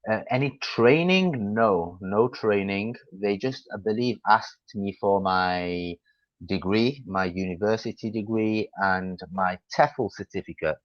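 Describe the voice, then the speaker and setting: A young man with a Mancunian accent talks easily over a video call, his voice sitting very low and unhurried. He fills pauses with ums and restarts as he explains things. The call audio is dull and dry. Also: dry recording. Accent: Mancunian English. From Manchester, England. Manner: relaxed, chatty, many fillers and restarts. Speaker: English tutor. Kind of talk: casual video-call conversation.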